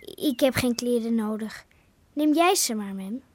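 A woman's voice: a little high, expressive, measured pace, a little mellow. A curious young girl. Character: young village girl Tijne, curious and teasing. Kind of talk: radio drama acting.

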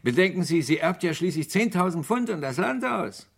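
An actor playing a measured, probing police inspector in a German radio crime play, formal and polite. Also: male voice, sits high, lively intonation, vocal fry, husky, heavily acted. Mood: deliberating.

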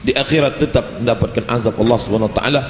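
Man, preacher, animated, roomy echoing hall, faint ambience. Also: mid-range voice, racing, slight background noise. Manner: lively, animated delivery with playful asides. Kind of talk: religious lecture.

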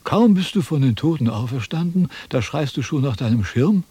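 An actor playing a self-important, wordy wandering philosopher in a declamatory, quibbling radio drama style. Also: male voice, mid-range voice, animated, moderate pace, warm tone, breathy edge, dry recording. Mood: serene, curious. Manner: declamatory, pompous, sophistical. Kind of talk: theatrical German radio drama acting.

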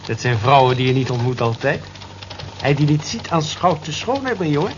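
A man's voice: mid-range voice, normal speed, close to an everyday voice. An actor playing a chatty, nosy male office colleague who teases, in a radio drama. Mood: teasing, nosy. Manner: teasing, nosy, talkative. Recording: dull, noisy old radio play recording.

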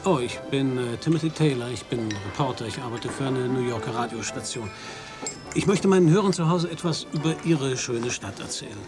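A man's voice: medium pitch, brisk, lightly acted. An actor playing a polite, slightly hesitant male American radio reporter who narrates a radio play. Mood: curious. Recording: radio play with music behind.

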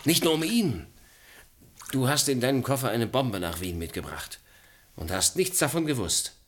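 A man's voice: medium pitch, big pitch swings, moderate pace, a bit bright, a little hiss or hum. An actor playing an earnest, troubled man with a wry, sceptical streak, questioning others in a German radio play. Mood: worried. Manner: earnest, questioning, dryly ironic.

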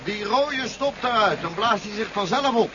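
High-voiced curt pilot, muffled radio play. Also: male voice, expressive, normal speed. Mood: relieved, uneasy. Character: male military pilot, curt and cool under pressure. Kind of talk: 1970s Dutch radio drama acting. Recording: muffled, noisy 1970s radio recording.

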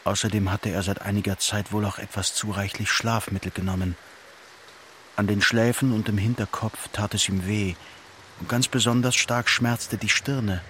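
An actor playing a solemn, elegiac narrator who echoes a doomed king's thoughts in measured radio play phrases. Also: on the low side, fairly even intonation, fairly bright tone, slight background noise, close to an everyday voice. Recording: music behind the voice. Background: music.

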